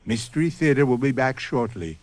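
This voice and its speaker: A male host of a radio mystery series giving solemn, measured scripted narration. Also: mid-range voice, animated.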